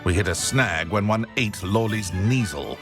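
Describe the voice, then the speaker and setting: An actor playing a scheming, smug wizard shopkeeper in a video game, speaking in a sly, pompous, theatrical way. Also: male voice, on the low side, measured pace, over music, lightly acted. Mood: aggrieved. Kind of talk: video game character acting.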